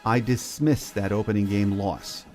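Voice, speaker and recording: A man's voice: on the low side, steady tone, measured pace, darkish tone, faint music behind. An American man talking as the host of a sports podcast. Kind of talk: sports talk, scripted intro and interview.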